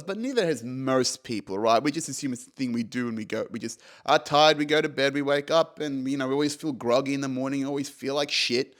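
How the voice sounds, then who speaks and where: A man's voice: medium pitch, fairly bright tone. A man in his thirties hosting a solo podcast, talking in a loose, rambling way, swearing freely and poking fun at himself. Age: 30s. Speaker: podcast host. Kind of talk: casual solo podcast monologue.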